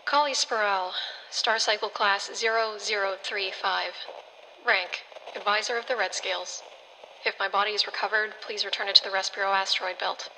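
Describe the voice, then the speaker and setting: Bright, blunt, businesslike advisor. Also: female voice, medium pitch, steady tone, normal speed, slight background noise, low-quality recording. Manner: matter-of-fact, dry. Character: cool, blunt, businesslike woman team advisor. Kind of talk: audio drama acting.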